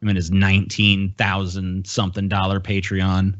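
A man's voice: low voice, monotone, moderate pace, fairly bright tone, dry recording, highs cut off. A man hosting a podcast, chatting casually with crude, heavily profane language and reading out viewer messages. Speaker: podcast host.

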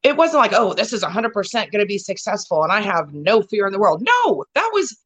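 A woman's voice: mid-range voice, big pitch swings, a little fast, a bit bright, breathy edge. Rambling woman, filler-heavy chat. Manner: rambling, many "you know" fillers and restarts. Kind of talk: casual conversational chat.

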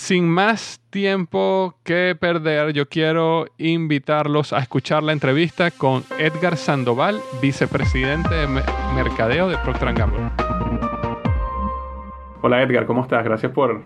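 A man's voice: on the high side, fairly even intonation, measured pace, soft background music. A man hosting a podcast interview, asking questions with hesitations and restarts. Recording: dull lo-fi sound with faint noise.

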